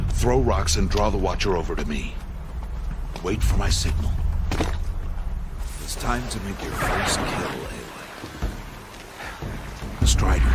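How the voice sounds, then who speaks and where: An actor playing a stern, gruff, protective outcast hunter who instructs in grave, terse commands in a video game. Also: male voice, low voice, heavy background noise. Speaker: mentor. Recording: loud music behind. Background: loud music.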